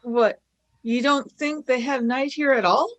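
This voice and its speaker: A woman's voice: high voice, measured pace, fairly bright tone. A woman voicing an impatient, curious young female companion in a fan radio play, urging and asking questions.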